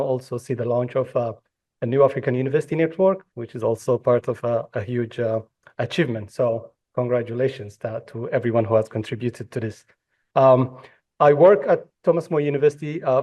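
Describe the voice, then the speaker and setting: A man's voice: medium pitch, a little mellow. A man from Ethiopia moderating a conference panel, speaking with frequent fillers and restarts. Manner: hesitant, many fillers and restarts. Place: conference hall.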